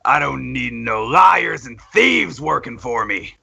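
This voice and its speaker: Guy doing a stern folksy boss, scolding, sharp gravelly voice, comic dub style. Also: mid-range voice, animated, takes it slowly, heavily acted. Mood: stern, disappointed. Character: stern boss demanding answers from worker.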